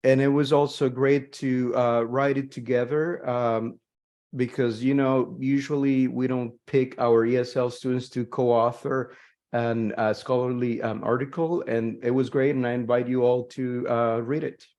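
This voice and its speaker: A man speaking over a video call, relaxed and conversational. He reflects aloud on his classroom experience, pausing often to find the next word, at an ordinary middle pitch. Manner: conversational, reflective, frequent pauses to find words. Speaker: language teacher presenting a chapter. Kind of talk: online book launch talk.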